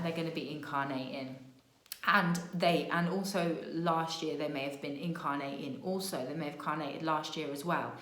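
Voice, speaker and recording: A slightly hoarse woman talking in a low-ish, relaxed voice, as if she's losing it. She meanders through her thoughts with fillers and half-started phrases, checking in with "yeah?". The sound carries a noticeable room echo. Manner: meandering, many fillers and restarts, "yeah?" tags. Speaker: spiritual channeler. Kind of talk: casual spiritual vlog talk.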